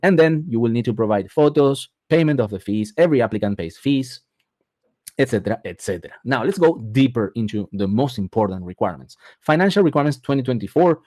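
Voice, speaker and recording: A man's voice: mid-range voice, animated, quick pace, a little mellow, no room sound, studio quality. Argentine-born man giving a webinar talk. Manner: repeats words for emphasis, frequent "okay?" check-ins. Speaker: immigration advisor presenting a webinar. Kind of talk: explanatory webinar presentation.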